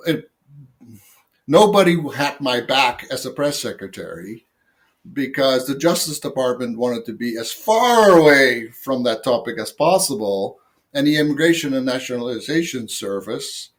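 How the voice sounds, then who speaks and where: An older man from the Netherlands with a Dutch accent, a guest telling long rambling stories about his past jobs with lots of fillers and self-mocking asides. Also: mid-range voice. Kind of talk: casual interview storytelling.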